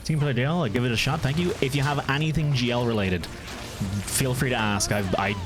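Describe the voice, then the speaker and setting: A relaxed Irish man with a Meath accent talks through his gameplay at a mid-range pitch, wandering off mid-sentence and picking the thought back up. Clear game music plays behind him the whole time. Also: fairly quick. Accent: Irish, County Meath. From Ireland. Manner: easygoing, rambling, answers chat, frequent restarts. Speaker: game streamer. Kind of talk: casual live-stream chat while gaming.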